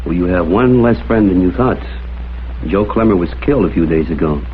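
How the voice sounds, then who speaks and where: An actor playing a hard-boiled American private detective, dry and terse, in a vintage crime drama. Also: male voice, low-pitched, lively intonation, a little fast, slight background noise. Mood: wry, suspicious. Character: hard-boiled male private detective, wry, probing, coolly persistent. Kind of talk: mid-century American crime drama acting and narration. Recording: tinny vintage soundtrack, faint music behind.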